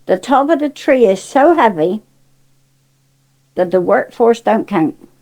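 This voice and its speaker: A woman's voice: a little high, lively intonation, a little slow, a little mellow. An older woman with a Cornish accent, speaking in an emphatic, forthright and indignant way as she gives her opinions in conversation. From Cornwall, England. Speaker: interviewee.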